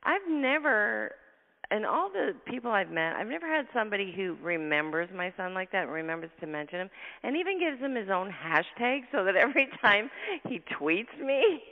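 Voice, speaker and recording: A woman with a husky, gravelly voice hosts a radio interview, talking fast and effusively and sounding travel-tired. She comes through a tinny phone line with a long reverb tail. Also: mid-range voice, big pitch swings. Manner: fast, effusive talker, laughs often. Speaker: radio show host. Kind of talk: casual radio interview chat.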